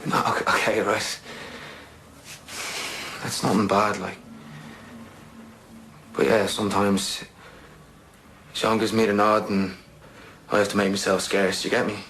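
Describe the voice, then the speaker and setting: A man's voice: on the low side, level tone, measured pace, pauses often, a bit bright, slight background noise, low-quality recording. An actor playing a big, sheepish young working-class British man who answers hesitantly and defensively in an audio drama. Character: hulking but sheepish young working-class nephew, anxious under questioning.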